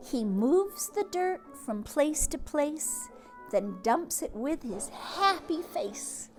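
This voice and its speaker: A woman reading a children's picture book aloud, expressively, with drawn-out words and hushed asides, speaking directly to young listeners. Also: high-pitched. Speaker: teacher or read-aloud host reading to children.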